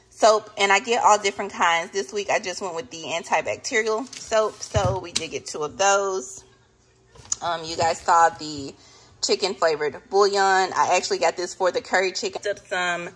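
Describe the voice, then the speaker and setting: A woman's voice: on the low side, fairly bright tone, husky, rough recording. A woman in her thirties from the American South with a Southern accent, chatting casually to camera while showing her groceries. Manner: chatty, says "y'all" often. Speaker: vlogger. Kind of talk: casual grocery haul chat.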